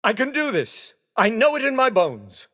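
A man voicing a theatrical, excitable skeleton gentleman in a racing video game, with courteous, exclamatory delivery. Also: very high voice, normal speed, full character voice. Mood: hopeful, determined. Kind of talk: video game character voice clips.

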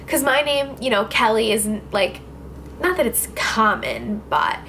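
A woman's voice: on the high side, animated, a little slow, fairly bright tone. Twenty-year-old woman, beauty vlogger, chatty and filler-heavy, close to camera, faint hiss behind. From New Jersey. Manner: chatty, filler-heavy, lots of "like" and "I don't know". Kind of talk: casual Q&A vlog. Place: indoors, small room with clothes rack behind. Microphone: camera facing her at close range.

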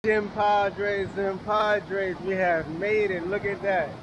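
High-pitched young man vlogging outdoors. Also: level tone, slow pace. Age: young adult. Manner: colloquial, easygoing. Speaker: travel vlogger. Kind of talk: casual chat to camera. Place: outdoors by a roadside and river waterfall. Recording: outdoor camera audio with ambient noise.

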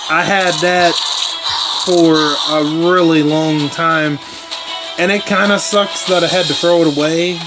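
Slow-talking man, slightly hoarse. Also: on the high side, steady tone, rough recording. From eastern United States. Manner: rambling, laid-back storytelling. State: losing his voice, clearing throat. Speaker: gaming channel host. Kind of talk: casual chat over gameplay. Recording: game music loud behind voice.

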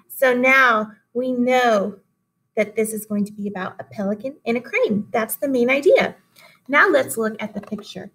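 A woman in her thirties, a teacher giving an expressive, instructive read-aloud lesson for children. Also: fairly high pitch, rough recording. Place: small classroom indoors.

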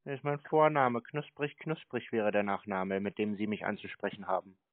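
A man chatting casually on a call, teasing and provoking his caller in a dry, deadpan manner. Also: on the low side, normal speed, no echo. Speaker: scam-baiter taking phone calls. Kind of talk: casual, teasing phone chat. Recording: narrow phone-band call audio.

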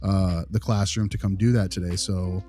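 A man with a dark, mellow voice talks as a behavior analyst in a role-played conversation. He explains things politely in hesitant phrases, over faint music. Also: sits low, steady tone, normal speed. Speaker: behavior analyst, podcast host. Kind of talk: improvised role-play phone conversation.

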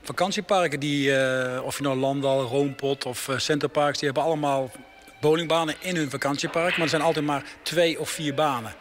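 A man's voice: a little high, fairly even intonation, fairly quick, fairly bright tone, faint music behind. A man who runs a bowling lane firm, explaining his ideas in earnest to a radio reporter at a bowling alley, speaking into a handheld mic. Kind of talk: radio interview answers. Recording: on-location radio report, background noise. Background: bowling alley ambience.

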